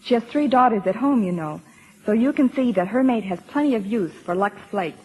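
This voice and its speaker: A woman's voice: a little high, expressive, brisk, darkish tone, slightly rough, low-quality recording. A woman giving scripted guest chat as an actress on a 1940s radio programme. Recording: old radio broadcast recording.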